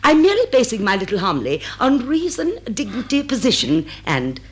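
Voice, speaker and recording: An actress playing a cool, worldly English wife who scolds with dry irony and measured affection in a radio comedy. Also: female voice, a little high, huge pitch swings. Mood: dry reproof. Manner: dry, ironic, measured lecturing. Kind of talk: stage drawing-room comedy in radio drama.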